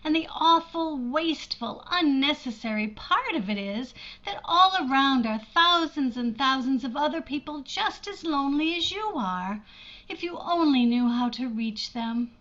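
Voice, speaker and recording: A woman's voice: sits high, lively intonation, a little slow, sharp tone, lo-fi sound, exaggerated voice. A woman playing a shy, lonely older sister from an old-time radio drama, hesitant, earnest and self-deprecating. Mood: lonely, confiding.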